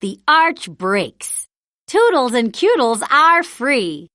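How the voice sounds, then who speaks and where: Animated, bright-voiced woman reading a children's story. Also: high voice, no room sound, clean studio sound. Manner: animated, deliberate storytelling delivery. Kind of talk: expressive read-aloud narration for young children.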